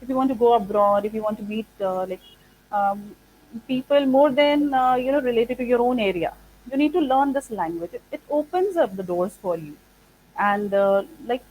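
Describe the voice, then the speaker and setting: A woman whose first language is Punjabi, from India, answering interview questions as an institute founder. She speaks thoughtfully and fills her pauses with "like" and "uh". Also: on the high side, a little mellow, a little hiss or hum, low-quality recording. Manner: thoughtful, many "like" and "uh" fillers. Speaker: founder of an English training institute.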